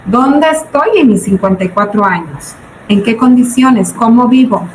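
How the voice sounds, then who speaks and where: High-voiced Mexican woman teaching, echoey room. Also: expressive, measured pace. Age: 40s. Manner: didactic, asks and answers own questions. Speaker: business budgeting teacher. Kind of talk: informal lecture to students. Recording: roomy echo, background noise.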